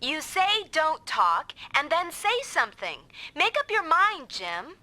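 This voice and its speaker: A woman's voice: high-pitched, wildly swooping pitch, slow, pushed and bright, full character voice. A woman voicing a touchy, sulky young girl in an anime-style video game dub. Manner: sulky, petulant. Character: pouty, touchy young girl riding along as passenger.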